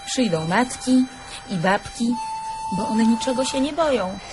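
A woman's voice: fairly high pitch, lively intonation, measured pace, music in the background. An actress playing a grave, watchful female storyteller in a radio drama chorus, declaiming her lines. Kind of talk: theatrical radio drama narration.

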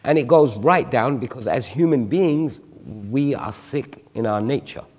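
A man's voice: medium pitch, measured pace, a little mellow, in a large hall. A man in his forties, a guest on a studio panel discussion, explaining his view reflectively with examples. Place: TV studio stage.

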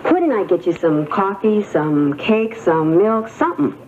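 An actress playing a naive, eager young housemaid in a soap opera, chatty and artlessly curious. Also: female voice, mid-range voice, very animated, unhurried, very noisy. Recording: muffled 1980s TV tape.

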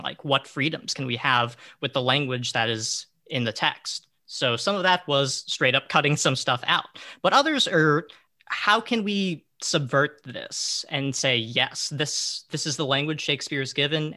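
Bright-voiced young man, deliberate. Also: medium pitch. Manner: deliberate, questioning. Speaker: panel moderator and play adapter. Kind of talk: reflective panel discussion.

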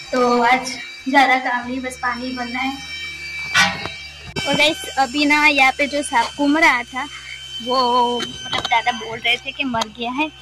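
Young woman filming herself outside on her phone, high voice, talks thru every task, music bed behind. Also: poor audio. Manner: chatty, explaining each task to viewers. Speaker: village vlogger. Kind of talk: casual vlog narration while doing chores. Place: rural home courtyard and fields, outdoors. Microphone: phone held at arm's length.

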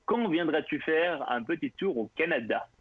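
A man hosting a live radio interview, lively and joking, often chiming in. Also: fairly high pitch, normal speed, husky, no echo, phone-quality sound. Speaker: radio show host.

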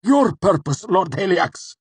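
A man's voice: fairly low pitch, animated, slow pace, breathy edge, no room sound, voice pushed up, exaggerated voice. A man voicing a cruel but servile henchman in a fantasy story, with a strained, gasping voice. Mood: pained, desperate. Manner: choked, pleading, submissive.

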